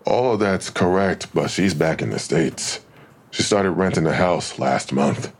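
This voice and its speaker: Audio drama detective: very low, husky, dry and probing, pressing with suspicion. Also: male voice, measured pace. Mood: dry, insistent. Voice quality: gravelly. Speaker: actor in a fiction podcast. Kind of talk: naturalistic audio drama acting.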